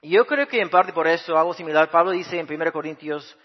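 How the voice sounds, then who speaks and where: A man's voice: fairly high pitch, quick pace, long reverb tail, muffled recording. A man from Spain whose Spanish has taken on a Mexican accent, preaching a sermon as a guest missionary pastor in an earnest, conversational way with frequent hesitations. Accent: Spanish with Mexican Spanish influence.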